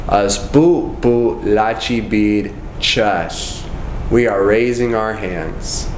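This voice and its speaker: A young man with a fairly low voice leads a language lesson, speaking slowly and evenly. He repeats each phrase with measured care. The room gives his voice a roomy echo, with a faint hum behind it. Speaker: language teacher. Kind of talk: slow, careful language lesson. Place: indoors, in front of a wooden wall.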